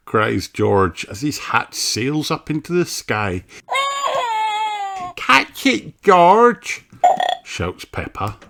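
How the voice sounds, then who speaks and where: A narrator reading a children's storybook aloud in an expressive storytelling manner. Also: mid-range voice, background noise. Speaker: audiobook narrator.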